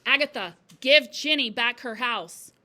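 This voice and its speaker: A woman voicing a commanding, menacing male ghost from a storybook in slow, emphatic words. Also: fairly high pitch, pushed and bright.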